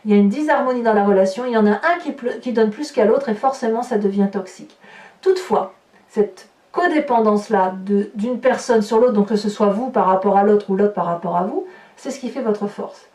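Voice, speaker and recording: High-pitched woman reading tarot in an echoey room. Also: fairly quick, a little mellow. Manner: emphatic, repeats and builds phrases for effect. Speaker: tarot reader, horoscope host. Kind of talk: improvised tarot reading commentary addressed to viewers. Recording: roomy echo, distant microphone.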